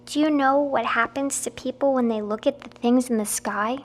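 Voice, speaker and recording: A woman plays a nine-year-old girl with a high, light voice. She talks earnestly and openly, in a childlike way. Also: normal speed, lightly acted.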